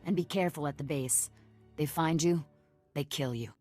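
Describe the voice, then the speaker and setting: A tough rebel cell leader with a notably low voice. She is curt, guarded and used to giving orders, in video game dialogue over faint music. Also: moderate pace, darkish tone. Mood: brisk, commanding. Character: tough, wary female leader of an underground cell.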